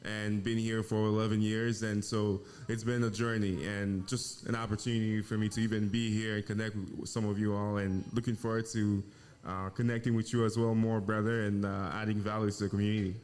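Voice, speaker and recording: A man from Haiti, a financial planner, talking in an earnest, measured way as a guest. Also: lowish voice, little pitch movement, a little mellow. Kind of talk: casual interview.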